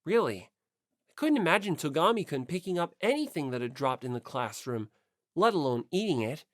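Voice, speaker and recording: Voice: mid-range voice, sing-song, a little fast, no room sound, clean studio sound. An audiobook narrator reading a first-person story from a script.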